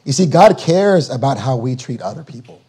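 A man preaching a sermon while losing his voice, reading scripture slowly and deliberately, then explaining it in plain words. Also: mid-range voice, expressive, mellow. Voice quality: husky. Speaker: guest preacher.

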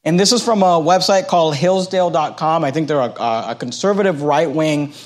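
A man in his thirties preaching an evening sermon at a church service, halting often with fillers and repeated words. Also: a little high. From United States. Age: 30s.

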